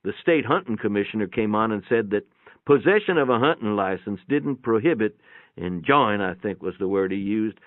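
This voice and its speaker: A man's voice: fairly low pitch, animated, moderate pace, no echo, narrow, tinny audio. A man giving a folksy, plainspoken dramatic reading of a first-person story, with a radio-like sound. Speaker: narrator, reader. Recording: band-limited, radio-like sound.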